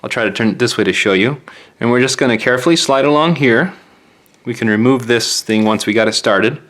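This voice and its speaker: A man's voice: mid-range voice, fairly quick, fairly bright tone. A man narrating a step-by-step repair tutorial in an informal, plain-spoken, explanatory way. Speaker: phone repair tutorial presenter. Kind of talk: hands-on step-by-step instructional narration.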